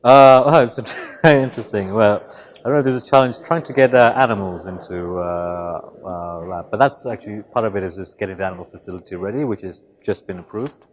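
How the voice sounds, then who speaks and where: Low-voiced man answering with many pauses. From Malaysia, raised in the UK. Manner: thoughtful, halting, many fillers and restarts. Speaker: biology professor and lab head. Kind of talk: interview answers.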